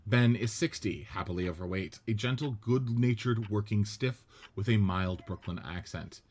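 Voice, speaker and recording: A man reads out stage directions in a plain, matter-of-fact way. His voice sits fairly low. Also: moderate pace. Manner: even, matter-of-fact reading. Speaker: narrator reading stage directions. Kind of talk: script reading narration.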